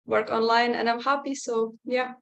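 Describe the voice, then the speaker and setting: A woman's voice: fairly high pitch, flat delivery, moderate pace, creaky, a bit bright, lo-fi sound. A young woman, giving a casual testimonial over a video call, talking hesitantly with lots of filler words. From Czech Republic. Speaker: interview guest, client.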